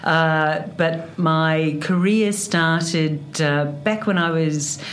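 Low-voiced New Zealand woman, halting. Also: slight background noise. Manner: hesitant, many fillers. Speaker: hotel executive guest. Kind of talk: interview answers.

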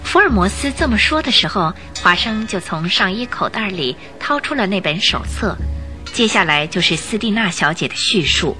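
A woman narrating a scripted Chinese radio drama in an expressive storytelling style. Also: mid-range voice, moderate pace, soft background music.

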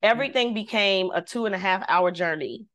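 A woman, a singer, telling a personal story in casual conversation, lively and devout, acting out the voices she quotes. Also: mid-range voice, a little fast. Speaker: guest.

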